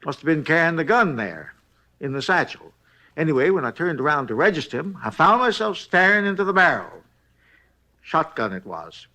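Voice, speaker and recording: Folksy male night clerk, 1950s radio drama: lively swings in pitch, easily sidetracked, rustic drawl. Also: full character voice. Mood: chatty, earnest. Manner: rambling, folksy, unhurried storytelling. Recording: vintage 1950s radio recording.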